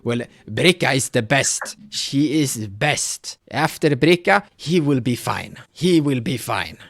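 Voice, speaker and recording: A man's voice: medium pitch, expressive, slow pace, creaky, fairly bright tone, no echo, heavily acted. Man acting an insistent old village elder in broken English. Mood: reassuring.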